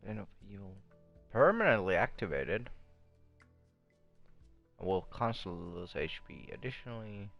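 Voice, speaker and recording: A young man gives laid-back, slangy gameplay commentary in a lowish, gravelly voice. He talks slowly, with faint music behind him. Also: very animated, highs cut off. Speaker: game streamer. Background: game music.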